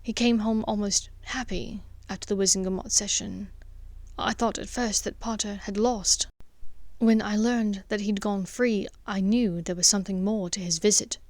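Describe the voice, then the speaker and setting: A woman voicing an intense, sharp-tongued teenage boy wizard in an audiobook, urgent and commanding. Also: mid-range voice, steady tone, normal speed, no echo, close to an everyday voice. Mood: worried warning.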